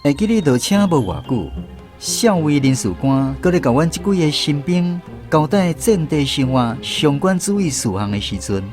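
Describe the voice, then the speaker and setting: Expressive former conscript narrating his memories. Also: on the high side, normal speed, soft background music. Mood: reflective storytelling. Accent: Taiwanese. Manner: storytelling, expressive. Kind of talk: scripted radio drama narration.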